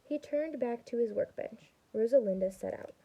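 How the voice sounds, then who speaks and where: A young adult woman reading a storybook aloud to children as a student, expressive and pausing to explain things. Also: fairly high pitch, muffled recording. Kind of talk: storybook read-aloud with teaching asides.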